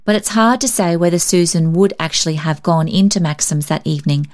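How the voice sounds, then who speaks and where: A woman narrates a storybook tale in a moderately low voice. Her reading is scripted and expressive, in the manner of a story reader. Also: fairly quick.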